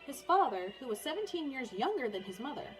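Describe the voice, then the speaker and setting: A woman's voice: high-pitched, very animated, brisk, faint music behind, poor audio. A woman teacher reading a children's biography aloud for online learners, in storybook narration with informal, chatty asides.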